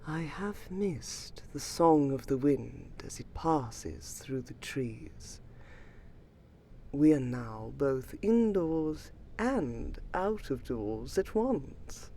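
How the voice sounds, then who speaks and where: A woman voicing a gracious, ancient vampire lady in a fantasy roleplay audio, speaking formally and soothingly to the listener with a wistful, breathy voice. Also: deep voice, lively intonation, drawn-out words, mellow, a little hiss or hum, full character voice. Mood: wistful, serene. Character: gracious ancient vampire lady, noble keeper of a magical sanctum.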